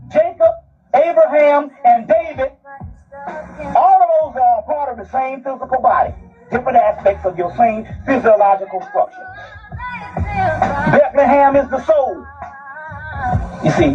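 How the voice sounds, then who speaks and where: Guy lecturing emphatically, really high voice, muffled lofi recording w music behind. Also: lively intonation, a little slow, long pauses. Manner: declarative, emphatic, checks listeners follow. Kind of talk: esoteric lecture. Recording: dull lo-fi audio over music.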